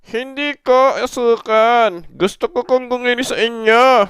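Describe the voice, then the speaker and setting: A man voicing a cheeky five-year-old boy puppet with a squeaky, playful, sing-song delivery and blurred ventriloquist lip sounds. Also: a little slow, fairly bright tone, clear, resonant tone, no room sound, studio quality. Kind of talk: comic puppet dialogue.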